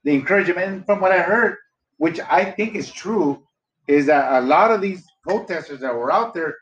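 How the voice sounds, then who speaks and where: A man's voice: sits high, expressive, moderate pace. A man in his forties, a podcast co-host chatting casually in a rambling, laid-back way, swearing now and then. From Los Angeles area. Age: 40s. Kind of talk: casual chat. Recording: echoey room, dull lo-fi sound.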